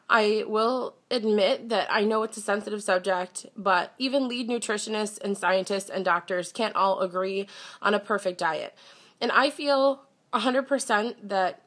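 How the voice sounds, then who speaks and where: A woman's voice: medium pitch, fairly bright tone. A young woman talking candidly to her camera in a casual vlog, congested and a little phlegmy, chatty with a slightly defensive edge. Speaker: vlogger.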